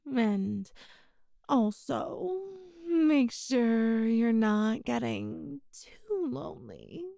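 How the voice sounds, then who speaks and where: A mellow, high female voice, very close and dry, a woman performing a possessive boss in an intimate ASMR roleplay. She is coy and teasing, lingering over her words as she flirts with the employee she adores. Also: big pitch swings, no echo, full character voice. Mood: teasing, seductive. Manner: slow, coy, teasing, breathy pauses.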